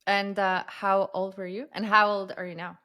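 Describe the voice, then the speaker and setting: A woman's voice: medium pitch, little pitch movement, normal speed, bright, forceful tone. A woman hosting a podcast, asking friendly, short questions with some fillers. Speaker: podcast host. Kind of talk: casual interview questions.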